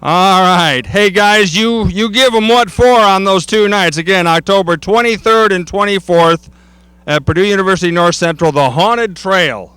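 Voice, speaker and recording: A man's voice: high voice, normal speed, fairly bright tone, clear, resonant tone, no echo. A man hosting outdoors on a wooded trail, chatting and joking with guests. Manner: jokey, chatty. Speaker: host. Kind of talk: casual chat.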